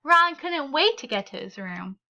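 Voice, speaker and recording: A woman reading a children's picture book aloud with deliberate, expressive phrasing for young listeners. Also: sits high, gravelly, lo-fi sound. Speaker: read-aloud storyteller.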